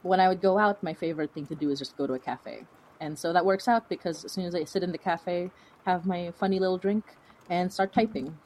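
A woman's voice: lowish voice, brisk, a little mellow, low-quality recording. A woman chatting casually as an interview guest, rambling and informal, with a self-deprecating way of talking. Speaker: fiction podcast creator, interview guest.

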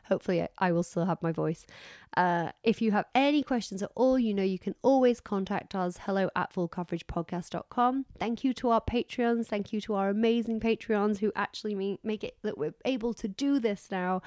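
A woman's voice: medium pitch, a little fast, darkish tone, no echo, studio quality. A woman co-hosting a podcast in casual, effusive, rambling chat while she is losing her voice. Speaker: podcast co-host.

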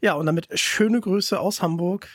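A man's voice: sits high, normal speed, no echo, clean studio sound. A man hosting a podcast interview in a casual, chatty way. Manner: informal, chatty.

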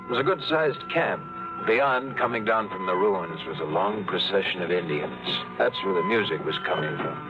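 An actor playing a wisecracking pilot who also narrates, in a vintage radio drama. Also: male voice, low-pitched, expressive, moderate pace. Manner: dry, wisecracking. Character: wisecracking male pilot adventurer. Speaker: narrator and lead character. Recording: dull vintage radio broadcast with loud music.

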